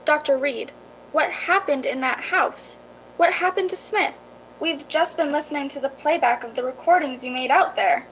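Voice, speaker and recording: A woman acts a worried, insistent lady in a radio drama. Her voice is high and earnestly pressing, with faint background rumble. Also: moderate pace, fairly bright tone, low-quality recording. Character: worried, insistent woman questioning an injured man.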